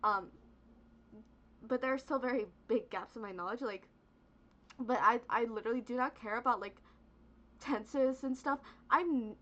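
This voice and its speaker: A young woman with a high, bright voice rambles through a profane, casual rant, tossing in "like" and "right?" constantly. Her first language is Spanish. The recording sounds dull and lo-fi. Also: normal speed, pauses often. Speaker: VTuber streamer. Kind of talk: casual ranting monologue.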